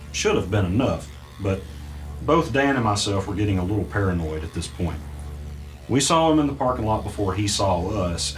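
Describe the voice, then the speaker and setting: Low-pitched, anxious male host played by an actor, audio mystery drama, earnest and hesitant, faint music bed. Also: lightly acted. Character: anxious male podcast host caught in a conspiracy. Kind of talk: audio drama, naturalistic dialogue and first-person narration.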